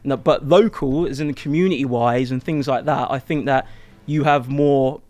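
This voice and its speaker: A man with a Scouse accent, a football fan arguing his point in a relaxed, chatty panel debate. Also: medium pitch, normal speed, no room sound. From Liverpool, England.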